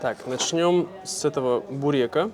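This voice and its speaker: A man from Russia vlogging casually to camera, speaking simply and clearly for language learners with easy fillers. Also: a little high, moderate pace, music in the background. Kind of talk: casual vlog talk to camera.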